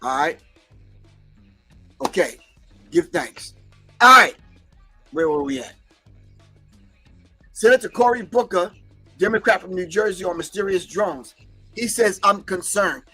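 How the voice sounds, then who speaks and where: Man, non-native english speaker, high voice, bright tone, emphatic livestream host, faint music behind. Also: expressive, unhurried, pauses often, slightly rough. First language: not English. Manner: preachy, emphatic, talks back to chat. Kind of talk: casual livestream commentary.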